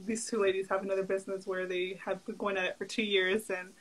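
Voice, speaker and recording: A woman's voice: medium pitch, little pitch movement, fast talker, a crowd in the background, poor audio. A young woman whose first language is Spanish, a guest entrepreneur chatting casually over a video call, full of "like" fillers and easy laughs. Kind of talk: casual interview chat.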